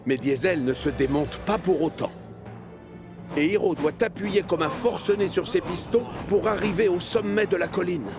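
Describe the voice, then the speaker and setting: A man narrating a children's animated story in an expressive storyteller's manner. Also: high voice, normal speed. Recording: music behind the voice. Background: music.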